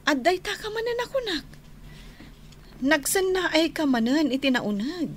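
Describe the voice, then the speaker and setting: A high, lilting female voice with faint noise behind it, a tender daughter. She pleads and soothes with wide swings of pitch, in radio-drama style. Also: animated, normal speed. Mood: coaxing. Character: gentle, pleading young daughter soothing her mother. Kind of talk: Ilocano radio drama acting.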